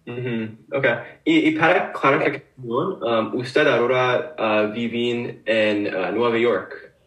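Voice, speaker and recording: A man co-hosting a podcast interview, asking his questions slowly and carefully with hesitant fillers. He sounds set back from the mic in an echoey room. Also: mid-range voice, fairly bright tone, recorded from a distance. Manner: hesitant, learner-like Spanish with fillers. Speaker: podcast co-host.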